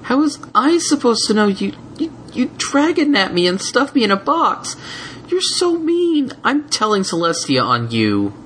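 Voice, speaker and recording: A man voicing an excitable, whiny ten-year-old male baby dragon in a fan fiction story, pleading and indignant. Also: extremely high pitch, a little slow, slight background noise, voice pushed up, heavily acted. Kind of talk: fan fiction audiobook character voice.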